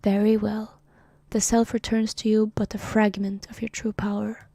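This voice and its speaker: A woman voicing a solemn ancient male cosmic being in a hushed whisper, reading a comic aloud on a stream. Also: mid-range voice, little pitch movement, normal speed, gravelly, no echo, clean studio sound. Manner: hushed whisper, formal speech about itself.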